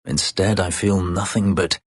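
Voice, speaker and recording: A man voicing a brooding, bitter escaped elf slave in a fantasy video game, husky and guarded, with terse, formal, dry phrasing. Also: steady tone, a little slow, no echo. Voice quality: husky, gravelly low voice.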